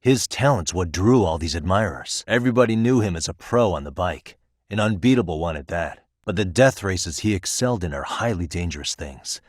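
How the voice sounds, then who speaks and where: A cool, principled investigator from a video game. The voice is very low-pitched and recorded dry and close, with no room sound. The delivery is casual and earnest, with an easygoing confidence. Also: male voice, animated, fairly quick, no echo. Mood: stern, pleading. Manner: casual, self-assured, earnest. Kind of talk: English video game voice acting.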